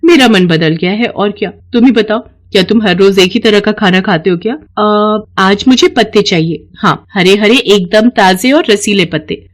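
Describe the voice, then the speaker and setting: A woman's voice: medium pitch, normal speed, hardly any pauses, close to an everyday voice. A woman voicing a sly, playful magical cash machine that talks, teasing and theatrical, in a children's moral story. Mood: fickle, demanding. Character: talking magical ATM machine, female, playful, sly and fickle. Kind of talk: animated moral story dialogue.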